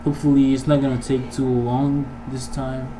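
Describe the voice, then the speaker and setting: A man giving a casual programming tutorial, thinking aloud in an informal, halting way as he works. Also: medium pitch, little pitch movement, warm tone. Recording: noisy mic with keyboard typing. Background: background noise, keyboard typing.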